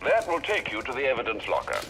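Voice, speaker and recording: An actor playing a loyal, proper older butler in a video game, speaking politely and precisely. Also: male voice, mid-range voice, huge pitch swings, quick pace, background noise. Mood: calm, helpful. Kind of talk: dramatic video game acting.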